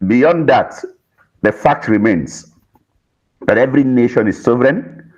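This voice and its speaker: A man's voice: mid-range voice, lively intonation, a little mellow. A man giving political commentary as a panel guest, choosing words carefully, on dull lo-fi audio. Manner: measured, careful wording.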